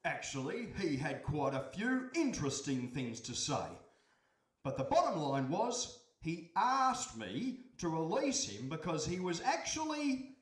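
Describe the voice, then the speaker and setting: A man's voice: fairly high pitch, big pitch swings, a little slow. An actor playing a meek, henpecked fisherman in a live radio play, stammering and evasive. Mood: hesitant, defensive. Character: meek henpecked fisherman husband, hesitant and simple. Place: hall stage before a live audience. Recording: roomy live stage hall.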